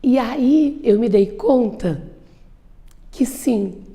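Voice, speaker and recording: Slow, mellow-voiced Brazilian gaúcho woman. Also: on the high side, animated, vocal fry. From southern Brazil. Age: 50s. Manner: earnest, emphatic. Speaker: lawyer and businesswoman. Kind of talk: interview answer.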